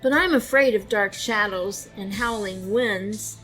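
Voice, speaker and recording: A woman's voice: medium pitch, a little slow, pushed and bright, soft background music, low-quality recording. A woman voicing a playful but timid young male fox in a children's storybook, eager and pleading. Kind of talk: children's storybook read-aloud.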